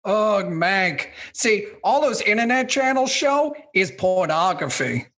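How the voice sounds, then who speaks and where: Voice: mid-range voice, very animated, slow pace, a bit bright. An actor playing a cranky, dismissive film critic in their sixties in a scripted comedy radio skit. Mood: indignant. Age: 60s. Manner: grumbling, dismissive, combative. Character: cranky opinionated 68-year-old film critic, a baby boomer.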